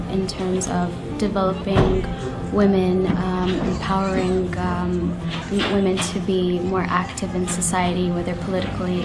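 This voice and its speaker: A woman in her twenties from Yemen, who works on women's issues, speaking evenly with some hesitant fillers. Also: on the low side. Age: 20s. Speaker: women's rights worker.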